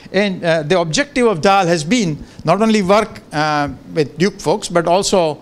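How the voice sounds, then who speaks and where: An older man whose first language is Gujarati gives a relaxed, joking guest lecture into a handheld microphone in a large lecture room, with many fillers and restarts. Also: high-pitched, measured pace. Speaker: professor giving a guest lecture. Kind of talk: informal lecture with asides.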